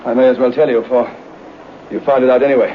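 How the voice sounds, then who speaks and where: An actor playing a ruined, despairing gentleman in an old-time radio drama, brooding, gloomy and theatrical. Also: medium pitch, fairly even intonation, fast talker, natural voice. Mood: resolved. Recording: noisy, muffled old radio recording.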